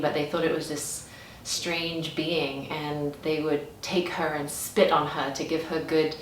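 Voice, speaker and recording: Low-voiced English woman, roomy and distant. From England. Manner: animated, chatty, with frequent restarts and fillers. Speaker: podcast guest. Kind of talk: casual conversational storytelling. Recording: roomy, distant mic with faint background noise.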